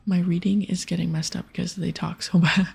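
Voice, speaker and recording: A woman speaks in a very breathy, husky murmur with heavy creak, often slipping into a whisper. She gives casual, gentle ASMR game commentary and reads text aloud. Soft music plays behind her. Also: lowish voice, level tone, brisk. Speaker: video game streamer. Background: music, keyboard clicks.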